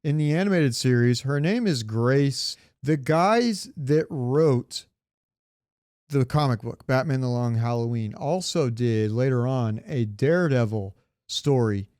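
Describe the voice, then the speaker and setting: A man's voice: medium pitch, lively intonation, a little slow, a little mellow, no echo, studio quality. A man co-hosting a podcast in casual pop-culture chat, rambling and searching for words, with casual swearing. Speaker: podcast co-host.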